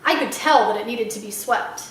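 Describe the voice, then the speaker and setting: Woman reading a kids book out loud, high pitched, very echoey room. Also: normal speed, far from the mic, slight background noise. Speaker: library read-aloud storyteller. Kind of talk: expressive children's book narration. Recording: very echoey room, away from mic.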